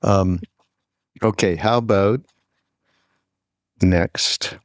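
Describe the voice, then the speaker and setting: A man hosting a podcast in a casual, conversational way, with halting, meandering phrasing. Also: deep voice, dry recording, clean studio sound. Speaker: podcast host.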